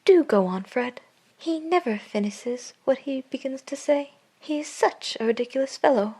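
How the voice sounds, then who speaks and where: A woman playing an indignant, teasing young wife in a classic radio play, emphatic and disapproving, with a breathy voice. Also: sits high, lively intonation, normal speed, darkish tone, heavily acted. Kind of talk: classic radio drama acting.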